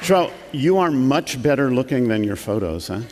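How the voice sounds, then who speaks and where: Jovial moderator in an echoing hall. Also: male voice, medium pitch, sing-song, moderate pace, over music. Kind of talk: session moderating. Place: conference hall. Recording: reverberant hall, faint crowd and noise.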